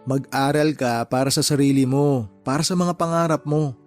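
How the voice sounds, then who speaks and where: An actor playing a caring, determined uncle with a Filipino accent, earnest and encouraging, in a radio drama. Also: male voice, medium pitch, fairly even intonation, normal speed, close to an everyday voice. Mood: warm, encouraging. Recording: music bed behind the voice.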